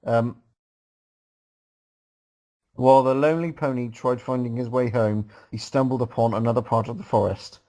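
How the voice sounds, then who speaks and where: A man's voice: mid-range voice, fairly even intonation, moderate pace, long pauses, mellow, poor audio. A man reading a story aloud casually as host, breaking off to make joking, mocking comments. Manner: breaks off to mock the writing.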